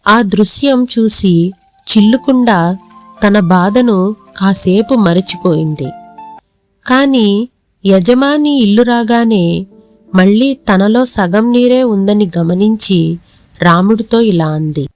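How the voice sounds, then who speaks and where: Female storyteller, slow delivery, mid pitch, scripted moral tale narration, faint music behind. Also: a little mellow.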